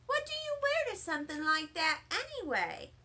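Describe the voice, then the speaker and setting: A woman's voice: very high-pitched, huge pitch swings, normal speed, bright, forceful tone, pitched above the performer's own voice, exaggerated voice. A woman voicing an agreeable, thoughtful little first-grade girl in a storybook, mild and musing.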